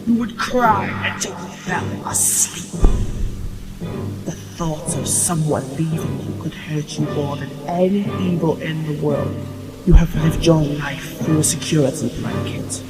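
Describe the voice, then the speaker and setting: An actor playing a cruel, mocking voice of conscience in a horror audio drama, menacing and sometimes whispering. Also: male voice, on the high side, measured pace, vocal fry. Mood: cruel taunting. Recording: loud music under the voice.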